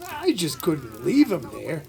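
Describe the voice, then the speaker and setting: A man in an audio play voicing a kindly, folksy, worried husband who works as a charity-bell Santa, with a gravelly voice. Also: a little high, huge pitch swings, slow pace, dark tone, exaggerated voice. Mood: earnest.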